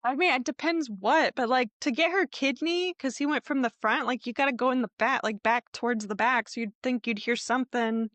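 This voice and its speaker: A woman's voice: a little high, brisk, hardly any pauses, a bit bright, dry recording. A woman hosting a podcast, telling true crime stories in an informal, conversational way with a hedging manner. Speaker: podcast host.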